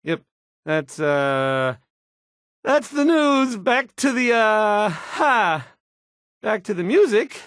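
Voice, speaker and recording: Stammering, anxious young radio DJ. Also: male voice, high-pitched, sing-song, extremely slow, fairly bright tone, no room sound, clean studio sound, exaggerated voice. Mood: flustered, nervous. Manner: stammering, hesitant, self-doubting, trailing off. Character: shy, anxious, awkward young male radio DJ. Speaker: radio DJ reading news. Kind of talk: awkward radio news patter.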